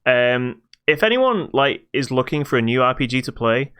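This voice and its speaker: A man's voice: mid-range voice, big pitch swings, normal speed, fairly bright tone, clear, resonant tone. A British man hosting a podcast, casually reviewing a video game in a hesitant, stop-start way.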